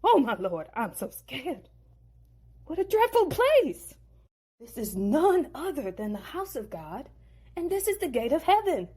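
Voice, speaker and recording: A woman's voice: high voice, sing-song, measured pace, dark tone, voice pushed up. A woman voicing a timid young man on the run, speaking in a wide-eyed, breathless way. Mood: awestruck.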